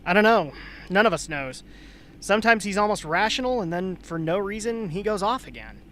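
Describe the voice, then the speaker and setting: A calm, matter-of-fact female confidant played by a woman speaks in a mid-pitched voice. The performance is amateur radio drama, with faint noise on the recording. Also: fairly quick, close to an everyday voice. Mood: reassuring. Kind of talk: amateur radio play acting.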